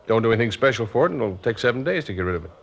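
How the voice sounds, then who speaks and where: A man's voice: lowish voice, sing-song, rapid-fire, gravelly. A man with an American accent playing a droll, grumbling family-man police officer in a radio drama, with a dry, rambling way of talking. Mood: wry complaining. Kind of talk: 1950s radio drama acting, conversational. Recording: dull, hissy old radio recording.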